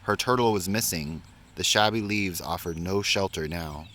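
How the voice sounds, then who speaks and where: A man reading a children's picture book aloud in a measured, expressive storytelling way, pausing between phrases. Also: low voice, slight background noise. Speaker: story reader.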